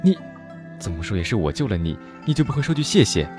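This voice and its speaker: A man voices a young wuxia swordsman in a radio drama, low-voiced but animated, teasing and full of life. His pitch swings freely as he talks. A music score plays beneath him. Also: fast talker. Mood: concerned. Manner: teasing, lively, expressive. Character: young male martial-arts hero, cheerful and persistent. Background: music score underneath.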